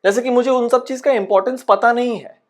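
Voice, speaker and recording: A man's voice: very high voice, fairly even intonation, quick pace. A man playing a frustrated, paranoid aspiring actor who rants insistently in a dramatic monologue. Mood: angry, exasperated. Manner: exasperated, ranting, insistent, with rhetorical questions. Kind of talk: dramatic comic audition monologue in Hindi-English mix.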